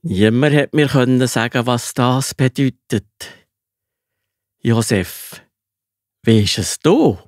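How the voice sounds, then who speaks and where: A man's voice: mid-range voice, slow, pauses often, no echo, studio quality, lightly acted. A man playing the Pharaoh of Egypt in a children's Bible audio drama, with a Swiss German accent. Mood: puzzled, storytelling. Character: troubled male Pharaoh, grand ruler of Egypt.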